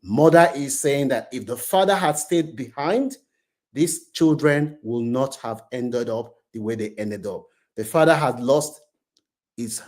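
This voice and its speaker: A man's voice: mid-range voice, darkish tone. A man telling a cautionary story to viewers as a channel host, emphatic and often repeating his phrases. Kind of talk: moralising storytelling commentary to viewers.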